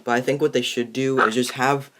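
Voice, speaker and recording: A teenage boy in a casual movie discussion, talking in a halting, rambling way. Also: mid-range voice, fairly even intonation, fairly bright tone. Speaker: movie review host. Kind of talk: casual chat.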